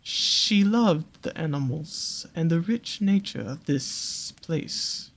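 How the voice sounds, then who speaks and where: A performer plays a possessive serpent creature from a fantasy audio roleplay. The delivery is extremely slow and soothing, at a medium pitch. Every phrase is coaxing and doting, with a sly edge beneath the care. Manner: unhurried, coaxing, doting with pet names.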